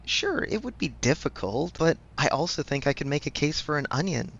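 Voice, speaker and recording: A man's voice: on the high side, big pitch swings, brisk, vocal fry, a bit bright, breathy edge, muffled recording, heavily acted. A man playing a supportive best friend in a casual audio roleplay, speaking frankly with affectionate teasing. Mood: playful, teasing. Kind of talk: ASMR audio roleplay, conversational.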